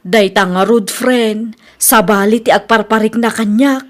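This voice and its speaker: A woman's voice: on the high side, fairly even intonation, measured pace, close to an everyday voice. A woman with a Filipino accent playing a suspicious, neglected wife in a radio comedy drama. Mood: downcast.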